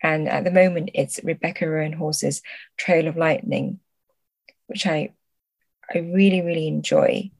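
Woman, lowish voice, interview guest, reflective, hesitant phrasing, dry acoustic. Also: steady tone, unhurried, vocal fry, pauses often, a little mellow, dry recording. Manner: hesitant, searching for words, thoughtful. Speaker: guest, literary translator.

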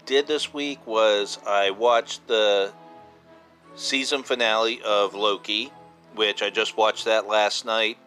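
Male podcast host, fifties: bright, punchy, informal fan chat, repeats points for emphasis, faint music bed. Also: medium pitch. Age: 50s. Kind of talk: casual solo podcast talk.

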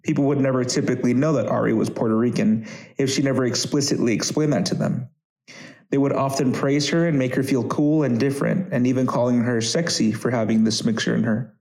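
Male podcast narrator, average pitch, formal scripted read linking parts of a personal story. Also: steady tone, a little fast, creaky, a little mellow, poor audio.

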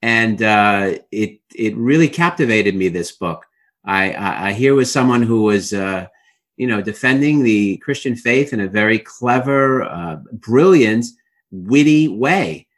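A man's voice: on the low side, animated, a little slow. A middle-aged man with a Brooklyn accent, a guest telling his life story in an interview with many fillers and restarts. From Brooklyn, New York.

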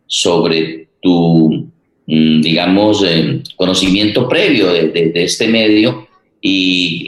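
Male Colombian forum host, low register, halting conversational delivery, noticeably echoey sound. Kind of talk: conversational interviewing. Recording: roomy, echoing sound.

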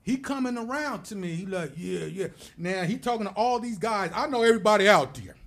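High-voiced, gravelly man telling a story. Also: fairly quick. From United States. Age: middle-aged. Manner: lively, acts out voices of people in his stories. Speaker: podcast guest. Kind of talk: animated storytelling.

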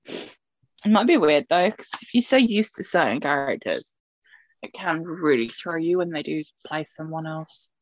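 A woman's voice: low-pitched, animated, a little slow, no room sound, highs cut off. A woman co-hosting a podcast in casual chat, rambling and chatty, laughing often. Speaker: podcast co-host.

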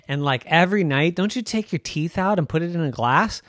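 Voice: medium pitch, animated, brisk, a bit bright, dry recording, lightly acted. A parent storyteller voicing cheeky young children in a teasing, know-it-all manner.

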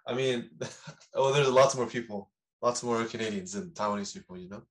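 A young man hosts a casual podcast, his voice at an ordinary middle pitch. He talks in a slangy, excitable way and laughs readily at his guest's stories. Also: moderate pace. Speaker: podcast host. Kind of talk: casual chat.

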